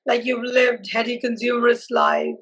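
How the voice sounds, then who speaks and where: High-pitched woman interviewer, warmly effusive, leading a live chat. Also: completely flat delivery, normal speed, gravelly. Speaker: host. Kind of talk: conversational interview over live video.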